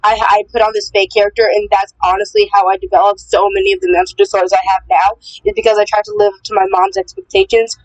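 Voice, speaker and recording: Fast, rambling young woman on a call. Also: mid-range voice, level tone, poor audio. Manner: rapid, rambling, filler-heavy, emphatic. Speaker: call participant. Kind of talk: casual group call chat.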